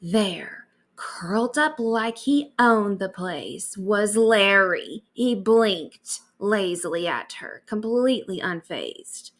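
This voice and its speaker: A woman narrates a bedtime story with a very animated, swooping delivery. She reads expressively, as a storyteller sharing a tale aloud. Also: mid-range voice, slow.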